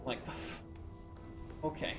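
A young man from Denmark giving rambling, casual commentary while streaming a game, swearing now and then. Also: a little slow. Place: small room at home. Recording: roomy sound with faint game music.

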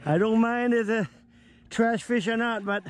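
A middle-aged man chatting excitedly while fishing from a small boat outdoors, whooping and laughing at each catch, recorded on an action camera. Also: extremely high pitch, measured pace. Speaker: fishing vlogger.

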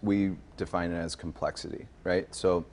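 Low voiced guy in his 30s doing a casual tv wine demo, says right? a lot. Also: steady tone, moderate pace, darkish tone, slight background noise. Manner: informal explaining, often checking with "right?". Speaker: sommelier and restaurant manager, studio guest. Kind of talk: casual live TV wine demonstration. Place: TV news studio.

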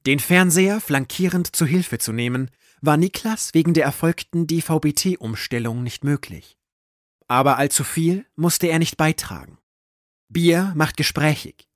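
A man narrating a scripted audio drama in a measured, literary storytelling manner. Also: a little high, dry recording, studio quality.

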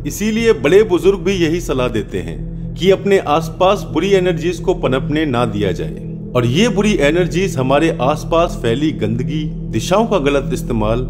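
A man narrates as the host of a horror podcast over clearly audible background music. His voice sits a little high and swings widely in pitch. The delivery is scripted, dramatic and suspenseful, leaning hard on key words. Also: big pitch swings, moderate pace.

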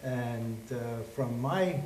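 A man's voice: medium pitch, very slow, darkish tone, echoey room, a little hiss or hum. An older man co-hosting a studio interview, asking blunt, conversational questions. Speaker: co-host, columnist.

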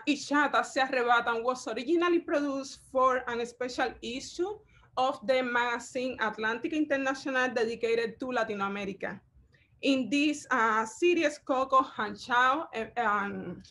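A woman curator lectures over slides through a webcam mic. Her voice sits high, and she speaks in a measured, halting way, filling gaps with ums and uhs. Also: fairly bright tone. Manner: measured, halting, with frequent fillers. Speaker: curator giving a talk.

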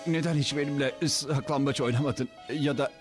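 A man's voice: medium pitch, fairly even intonation, fairly quick, over loud music, natural voice. A man voicing a meek, whining middle-aged son in a dubbed cartoon, hesitant and stammering. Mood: pleading, hurt. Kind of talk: dubbed cartoon character acting.

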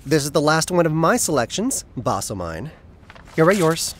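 A flamboyant, loyal male assistant in an audio drama. His pitch leaps around expressively as he banters with playful, theatrical wit. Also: big pitch swings, moderate pace, over music. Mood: brisk, questioning. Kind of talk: audio drama acting, conversational.

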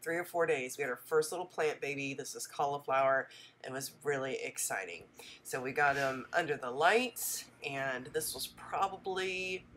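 A woman's voice: sits low, fairly bright tone. A woman with a stuffy head cold narrating her homestead vlog in a chatty voice-over, full of ums. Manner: chatty, friendly, many ums. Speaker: homestead vlogger.

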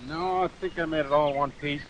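An actor playing a wartime agent in a 1940s training film. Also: male voice, fairly high pitch, fairly even intonation, fast, fairly bright tone, highs cut off, close to an everyday voice. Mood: businesslike. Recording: hissy, noisy old film soundtrack.

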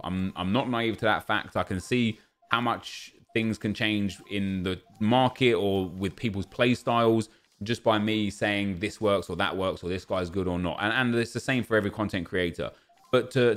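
A man's voice: lowish voice, a bit bright. A man in his thirties, a gaming content creator, talking earnestly over gameplay at home and often stumbling over repeated words. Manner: earnest, ranting, repeats words when restarting. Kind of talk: casual commentary over gameplay. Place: home streaming room.

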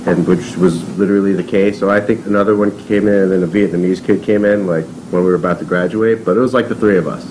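Low-voiced man in his thirties, relaxed panelist at a table mic. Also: fast talker, hardly any pauses, slight background noise, poor audio. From born in Taiwan, raised on Long Island. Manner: conversational, lots of "you know" and "um". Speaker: fiction writer, panelist. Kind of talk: casual panel talk. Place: seminar room.

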